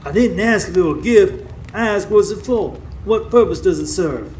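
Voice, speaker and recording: A man's voice: sits high, expressive, normal speed, darkish tone. A man playing a wise, stern old folk healer in a live radio drama, terse and commanding as he instructs. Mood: stern, probing. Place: stage before a live studio audience. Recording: live stage recording with background noise.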